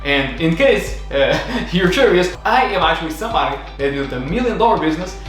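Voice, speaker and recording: A man's voice: fairly high pitch, sing-song, a little fast. A man with a thick Portuguese accent, talking casually and confidently as a podcast host, with many fillers and restarts. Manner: chatty, self-assured, many fillers and restarts. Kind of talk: casual talk to camera. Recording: very echoey room, faint music.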